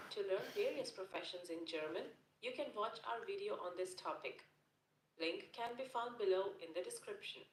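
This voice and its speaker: A woman teaching German phrases in a scripted lesson narration, repeating each phrase slowly and carefully. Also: mid-range voice. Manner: slow, careful repetition of phrases. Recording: roomy echo.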